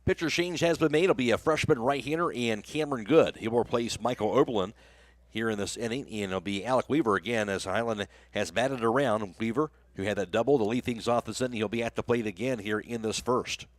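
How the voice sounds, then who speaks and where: A man's voice: medium pitch, brisk, no echo. A man doing live play-by-play baseball commentary for a radio broadcast. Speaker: play-by-play announcer.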